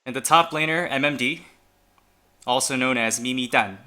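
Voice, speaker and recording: A man's voice: medium pitch, normal speed, pushed and bright. A man whose first language is Chinese, casting an esports match in a casual, chatty way and announcing players one by one. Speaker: esports caster. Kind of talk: fan esports commentary.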